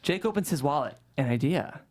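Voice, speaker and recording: A man's voice: a little high, animated, a little fast, darkish tone. A man reading scripted stage directions as the narrator of a table read.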